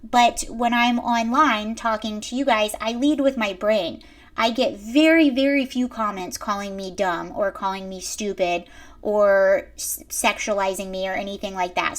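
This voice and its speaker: A young woman with a high-pitched voice giving emphatic commentary straight to her viewers. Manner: emphatic, lecturing, addresses viewers directly. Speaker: commentary host. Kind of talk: reaction commentary to camera.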